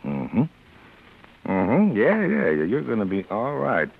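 A tough little older boss in a forties radio crime play. His voice sits low, and he talks in curt, needling, bossy bursts. The old recording sounds dull and boxed in. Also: huge pitch swings, slow pace, gravelly, breathy edge, heavily acted. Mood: sly, approving. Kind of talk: 1940s radio drama acting.

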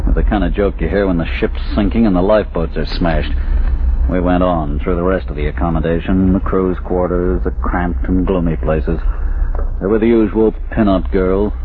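An actor playing a wry, tough ship's officer who narrates a sea mystery in slangy 1940s radio drama style. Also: male voice, low-pitched, moderate pace, lightly acted. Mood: wry, laconic. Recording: noisy, tinny old radio recording.

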